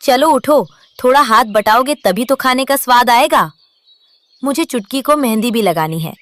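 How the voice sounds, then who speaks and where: A woman's voice: fairly high pitch, lively intonation, moderate pace, fairly bright tone, dry recording. A woman voicing a bossy but affectionate female crow in a cartoon story. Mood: scolding.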